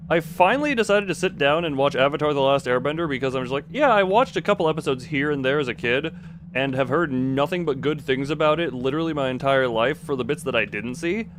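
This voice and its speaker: A man's voice: fairly high pitch, animated, fast, a little hiss or hum. A man live streaming a game, chatting casually with viewers in a rambling, exclamatory way, often restarting and rephrasing his sentences. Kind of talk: casual gaming commentary and chat with viewers.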